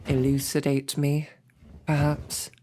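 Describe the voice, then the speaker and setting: A woman's voice: sits low, monotone, slow, mellow, slight background noise. A woman voicing a snarky, formal captured royal knight in an audio roleplay. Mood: huffy, resigned. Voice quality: formal, wordy and wry. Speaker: audio drama performer.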